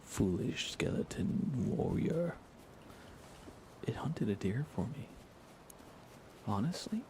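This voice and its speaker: A man speaking in a soft, breathy whisper, giving unhurried, gently playful ASMR commentary while he plays a video game for viewers. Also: medium pitch, big pitch swings, slight background noise. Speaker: livestreamer playing a video game.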